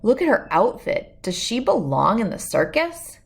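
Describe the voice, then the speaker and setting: A woman's voice: mid-range voice, big pitch swings, normal speed, creaky, husky, voice pushed up, full character voice. A woman voicing a snooty, mocking onlooker in a storybook, scornful and incredulous.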